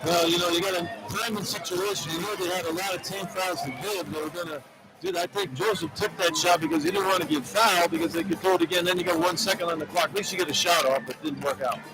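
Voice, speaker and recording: A man's voice: high-pitched, fairly even intonation, fast, loud music behind the voice, rough recording. A man giving conversational, off-the-cuff basketball commentary as a radio colour analyst, reacting aloud to the play.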